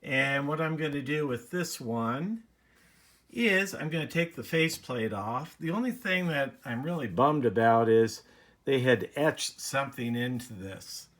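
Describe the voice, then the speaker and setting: A man giving a casual, unhurried, rambling demonstration as a hobbyist presenting a video. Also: mid-range voice, lively intonation, a bit bright.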